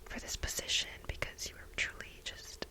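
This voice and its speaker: A woman playing an infatuated, jealous young research assistant to her boss in an ASMR role play, breathy, halting and gushing. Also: natural voice. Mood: nervous, grateful. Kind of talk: soft-spoken whispered ASMR role play.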